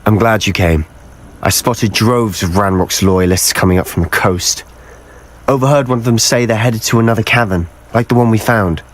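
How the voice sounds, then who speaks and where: A man's voice: on the low side, moderate pace, close to an everyday voice. A young man playing an impatient, brooding teenage schoolboy wizard in a video game. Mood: urgent, wary.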